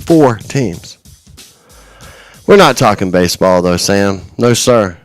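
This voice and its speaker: A man's voice: lowish voice, animated, slow, soft background music. A man in his forties hosting a radio show, chatting casually, rambling with frequent restarts and chuckles. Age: 40s. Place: radio studio.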